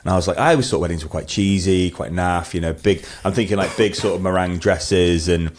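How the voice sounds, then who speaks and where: A middle-aged man with a low voice and a twangy blend of Scouse and Mancunian. He chats casually as an interview guest, rambling through anecdotes with a self-deprecating touch. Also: fairly quick. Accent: hybrid Scouse-Mancunian. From northwest England, Wirral and Manchester. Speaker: podcast guest, wedding photographer.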